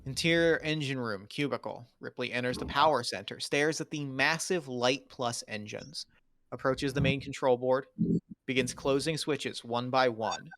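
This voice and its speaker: Man narrating a screenplay, mid-pitched. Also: moderate pace, a bit bright. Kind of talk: reading screenplay stage directions.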